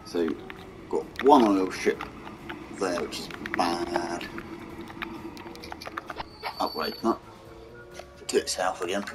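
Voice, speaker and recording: Croaky low-voiced man. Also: slow, pauses often, rough recording. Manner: mumbling, talks to the game's vehicles. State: hoarse, croaky voice. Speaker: gaming channel host. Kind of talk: casual gameplay commentary. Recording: game music behind voice.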